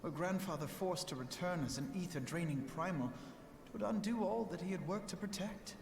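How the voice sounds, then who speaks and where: An actor playing an earnest, scholarly young nobleman, measured and diplomatic, with eloquent archaic diction in a fantasy video game. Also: high voice, gravelly. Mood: grave, earnest. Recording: echoey, reverberant game audio under loud music.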